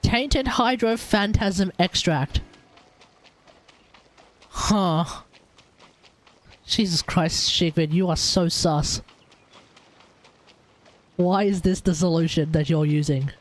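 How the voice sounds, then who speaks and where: A man's voice: high-pitched, slow pace, long pauses. A man with an Australian accent giving casual, opinionated, rambling commentary on a gaming livestream. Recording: faint game music behind.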